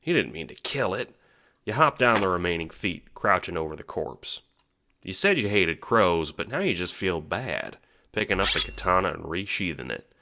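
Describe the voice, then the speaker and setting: A man's voice: low-pitched, very animated, moderate pace, gravelly, pushed and bright. A man narrating a second-person audio drama in a sardonic, sweary manner.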